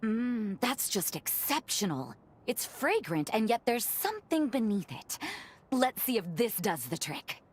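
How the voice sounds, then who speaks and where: A woman voicing a gentle young vampire in a video game cutscene, speaking politely and thoughtfully with formal wording and soft teasing. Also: on the high side, big pitch swings, measured pace, slightly rough, full character voice. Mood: curious, pondering. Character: gentle revenant woman, a vampire who loves cooking.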